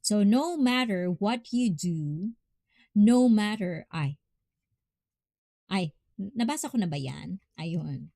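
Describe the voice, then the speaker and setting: A woman with a Filipino accent chats her way through a livestream, thanking viewers and giving heartfelt advice. She talks slowly and unhurriedly, laughing often. The sound is dry and close, with almost no room echo. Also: medium pitch, lively intonation, gravelly, dark tone. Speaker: livestream host.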